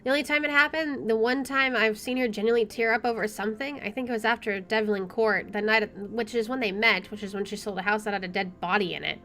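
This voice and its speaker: A woman's voice: fairly high pitch, fairly even intonation, quick pace, hardly any pauses, sharp tone. A woman let's player reading a visual novel aloud expressively with chatty asides, game music playing behind her.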